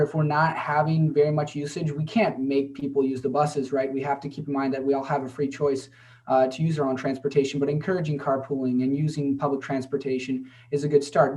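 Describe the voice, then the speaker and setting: A man giving a measured answer as a mayoral candidate on a video call, with steady, repeated framing. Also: on the high side. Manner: measured, repeats "we have to keep in mind". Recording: voice far from the mic.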